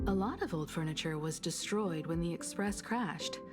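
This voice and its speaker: An actress playing a composed, gentle, dryly teasing grown daughter in a video game, soft-spoken and measured. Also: female voice, fairly low pitch, music in the background, natural voice. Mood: calm, informative.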